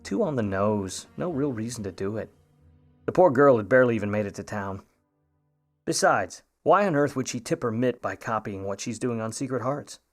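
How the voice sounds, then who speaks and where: A man's voice: medium pitch, animated, normal speed, soft background music, natural voice. A man playing a grudging, wisecracking private eye, needling and slangy, in a noir radio play. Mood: musing.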